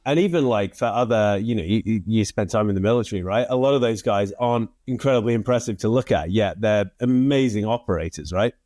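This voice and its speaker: A male podcast host with a fairly low voice asks questions in a casual, encouraging way. His voice sounds dry and close, with no room sound around it. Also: brisk, few pauses, dark tone, no room sound. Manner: encouraging, with frequent "yeah" and small restarts.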